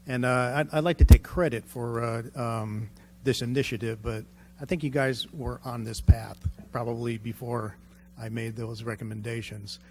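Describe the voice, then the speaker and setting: A man's voice: mid-range voice, steady tone, moderate pace, darkish tone, slight background noise. A man on the city council making remarks and a motion, hesitant with many fillers. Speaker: council member.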